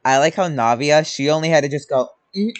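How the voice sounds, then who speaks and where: A woman's voice: deep voice, fairly quick. A woman streaming a game with casual, amused, informal reaction commentary.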